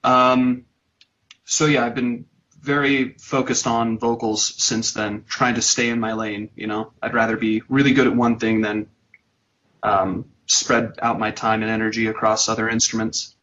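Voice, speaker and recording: A man, a band's vocalist, answering interview questions in a measured, earnest way with some ums. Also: medium pitch, level tone, fairly bright tone. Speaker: band vocalist, guest. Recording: dull, lo-fi sound.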